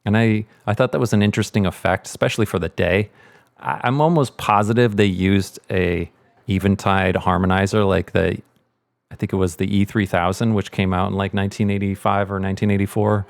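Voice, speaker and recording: A middle-aged man with a lowish voice leads a casual audio tutorial. He speaks informally, thinking aloud through each step, in a very dry recording. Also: fairly even intonation, a little fast, creaky, no room sound. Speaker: sound mixer giving a tutorial.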